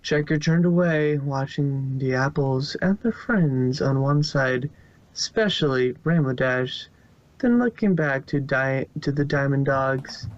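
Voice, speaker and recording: A man's voice: medium pitch, unhurried, dry recording. Man narrating a story, slightly muffled. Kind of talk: amateur fan fiction story narration.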